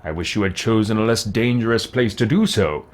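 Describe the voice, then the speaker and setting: A man reading aloud as a loving father writing to his son in a video game, with measured, deliberate phrasing. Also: on the low side, voice pushed down, natural voice. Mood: fond, instructive.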